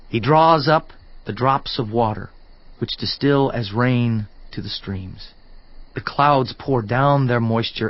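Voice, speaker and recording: A fervent biblical orator speaks in a solemn, declamatory way, with strong emphasis and earnest conviction. His voice sits at a middling pitch with a slightly husky grain. The sound is a little dull, as in an audio drama with a muffled top end. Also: dry recording. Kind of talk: dramatized scripture reading, oratorical.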